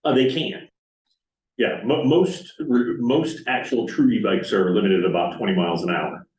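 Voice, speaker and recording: A man's voice: lowish voice, fairly even intonation, normal speed, darkish tone. A man on staff answering board questions at a public meeting, hesitant and full of fillers. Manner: hesitant, many fillers and restarts. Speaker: parks staff presenter. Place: council meeting room. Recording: roomy, distant meeting-room mic.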